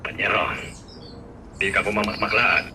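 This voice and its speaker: A man playing a serious older gentleman in an Ilocano radio drama. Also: lowish voice, flat delivery, moderate pace, natural voice. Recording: distant pickup with faint ambient noise.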